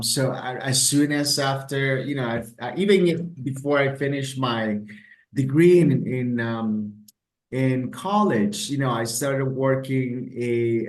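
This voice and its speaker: A middle-aged man with a Costa Rican accent, answering interview questions with many ums and restarts. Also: mid-range voice. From Costa Rica. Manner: hesitant, many ums and repeated words. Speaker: travel expert guest. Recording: roomy echo.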